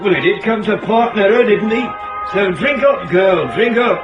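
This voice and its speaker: A man's voice: high voice, normal speed, reverberant. Man, easygoing boozy husband, radio drama, dull recording, music behind. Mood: offhand. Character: easygoing husband who prefers drinking to dancing. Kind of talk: British radio drama acting.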